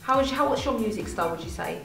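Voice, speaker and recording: A woman's voice: fairly high pitch, expressive, very fast, creaky, fairly bright tone. A woman hosting an interview show, upbeat and encouraging, laughing often. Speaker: show host. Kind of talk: casual interview chat. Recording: echoey room, far from the mic, faint music.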